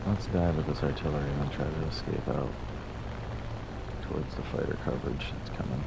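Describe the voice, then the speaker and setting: A man with an extremely deep voice and a gravelly rasp chats his way through a game stream, rambling and poking fun at his own plays. Loud game engine and battle noise roars behind him. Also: fairly even intonation, a little fast. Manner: rambling, self-deprecating, chatty. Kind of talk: casual gameplay commentary.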